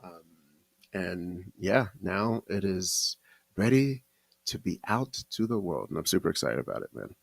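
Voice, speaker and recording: A man with a low, gravelly voice co-hosts a comedy podcast. He sounds relaxed and chatty, recorded close with a dry sound. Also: no room sound. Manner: laid-back, quick affirmations. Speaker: podcast co-host. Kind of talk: casual podcast chat.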